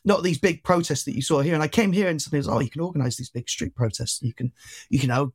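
Twenties guy from wales, slight welsh twang, talks quick n rambly, lots of likes and restarts, kinda high voice. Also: slightly rough. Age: 20s. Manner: chatty, rambling, full of fillers and restarts. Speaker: guest activist. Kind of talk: casual interview chat.

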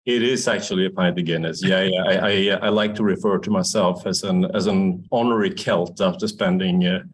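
A Swedish man in his sixties, a native Swedish speaker, telling his story in an interview with many fillers, restarts and laughs. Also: low voice. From Sweden. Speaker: guest, company founder. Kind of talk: casual interview talk.

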